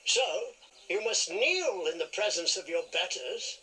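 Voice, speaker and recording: An actor playing a haughty, sneering aristocrat in a period television drama, pompous and disdainful. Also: male voice, high-pitched, sing-song, measured pace, bright, forceful tone, slightly rough, heavily acted. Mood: haughty contempt.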